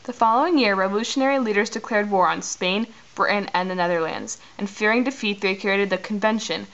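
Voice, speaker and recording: A woman's voice: medium pitch, fairly quick, a bit bright. Teenage girl narrating, dull recording. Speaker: student narrator. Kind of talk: scripted history narration. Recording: dull, faintly noisy amateur recording.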